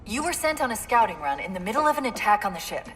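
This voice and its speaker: A woman playing a quick-witted, emotional AI companion in a video game cutscene. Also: medium pitch, big pitch swings, fairly bright tone, breathy edge. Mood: alert, analytical.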